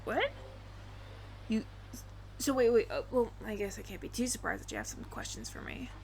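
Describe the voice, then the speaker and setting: A woman's voice: fairly high pitch, wildly swooping pitch, normal speed, gravelly, a little hiss or hum, heavily acted. A woman voicing a friendly, flustered alien roommate who passes as human, speaking to the listener in a hesitant, stammering, earnest ramble in an audio roleplay. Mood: surprised, relieved.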